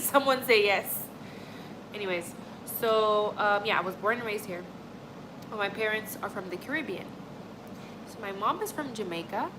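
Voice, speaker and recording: A woman's voice: mid-range voice, noisy background. Chatty young woman from Montreal. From Montreal, Canada. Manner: chatty, rambling, frequent "like" and "honestly". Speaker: livestream host. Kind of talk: casual chat with live chat.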